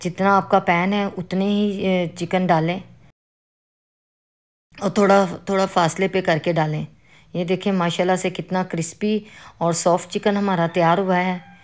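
Calm woman with Pakistani Urdu accent. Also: on the low side, a little slow. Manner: calm, instructive, with religious greetings. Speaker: cooking channel host. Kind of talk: step-by-step recipe narration. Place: home kitchen.

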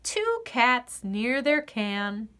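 A woman reading a children's picture book aloud in an expressive, sing-song storyteller's delivery. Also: a little high, extremely slow.

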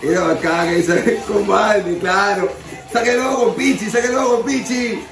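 Casting: young Peruvian male co-host, slightly high voice, playful banter, roomy sound. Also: a little slow, music in the background. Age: young adult. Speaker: co-host of a reaction show. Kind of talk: casual, joking reaction chat. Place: indoor radio-branded studio. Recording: echoey room, distant mic.

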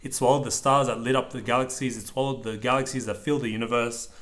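Male parent, medium pitch, storybook read-aloud for a young child with simple asides. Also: little pitch movement, quick pace, a bit bright. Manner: simple explanations and pointing out pictures for a small child. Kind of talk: read-aloud of a children's picture book, with short explanatory asides. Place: indoors at a table over the open book.

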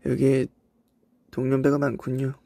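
A young Korean man from South Korea with traces of a Cheongju accent, chatting casually on a livestream and reminiscing in a relaxed way with soft asides. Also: medium pitch, normal speed, gravelly, dry recording. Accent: Cheongju dialect traces in Korean. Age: young adult. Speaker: idol livestream host. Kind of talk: casual livestream chat reading comments. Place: indoors, voice-only stream.

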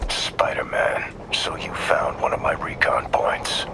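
An actor playing a cold, calculating male mercenary in a video game, speaking in a low raspy whisper with a calm, condescending menace. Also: slow pace, natural voice. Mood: menacing calm. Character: cold professional male mercenary taunting a hero. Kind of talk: video game cutscene voice acting.

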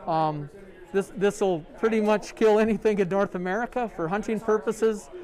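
A middle-aged man, a sales rep, showing products in a large store, talking in a halting way full of ums and uhs. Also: high-pitched, a little mellow. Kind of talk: product demo. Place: large sporting goods store, indoors.

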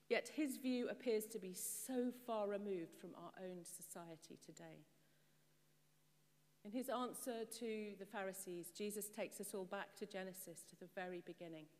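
A middle-aged woman preaching from a church pulpit in a measured, explanatory way, asking the congregation questions. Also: medium pitch, darkish tone. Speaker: priest. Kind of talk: sermon. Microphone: far camera. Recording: long church reverb.

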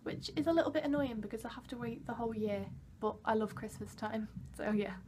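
A young woman in her early twenties, from Wales, chats to camera from her bedroom in a high, bright voice. She rambles cheerfully and laughs at herself often. A faint background hum sits under the recording. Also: brisk. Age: early 20s. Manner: chatty, giggly, self-deprecating. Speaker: vlogger. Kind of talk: casual chat to camera. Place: bedroom, indoors. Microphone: camera at arm's length.

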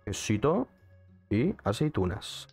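A man's voice: fairly low pitch, big pitch swings, slow pace, darkish tone, husky, faint music behind. A young man with a Mexican accent, streaming a game and chatting in a casual, jokey way while answering his chat. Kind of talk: casual gaming commentary.

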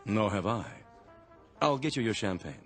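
An actor playing a deferential, formal restaurant waiter in a radio drama with music underneath. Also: male voice, on the low side, animated, moderate pace, a bit bright. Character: polite, nervous male restaurant waiter.

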